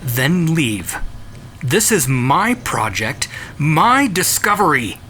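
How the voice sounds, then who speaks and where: A man's voice: on the high side, very animated, slow pace, bright, forceful tone, a little hiss or hum, voice pushed up, heavily acted. A man playing an obsessive, defiant scientist in an audio drama, speaking with agitated insistence. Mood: defiant scorn. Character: obsessive, defiant male scientist, feverish and stubborn.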